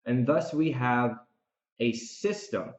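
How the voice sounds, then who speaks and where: A man's voice: mid-range voice, slow, roomy sound, muffled recording. A man delivering reflective solo podcast commentary, speaking emphatically and repeating phrases to drive points home. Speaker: podcast host and author. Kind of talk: reflective solo recap commentary, part rant.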